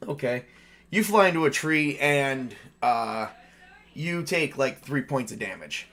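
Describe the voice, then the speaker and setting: A man acts as game master for a tabletop horror game. He narrates the action in an informal, conversational style, making it up as he goes and speaking in a medium-pitched voice. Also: animated, a little slow, a bit bright. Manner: informal, improvising with mild swearing.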